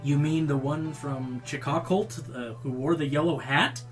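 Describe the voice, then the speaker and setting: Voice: mid-range voice, steady tone, unhurried, faint music behind, lightly acted. An actor playing an exasperated pony radio show host in a comedic radio play, theatrical, incredulous and sarcastic. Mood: dry, teasing.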